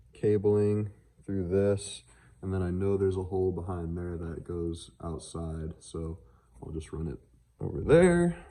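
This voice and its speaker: A man's voice: sits low, warm tone. A man talking casually and off the cuff in a laid-back vlog about his vehicle project. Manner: laid-back, offhand, unscripted. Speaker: car vlogger showing truck modifications. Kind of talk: casual handheld vlog chat.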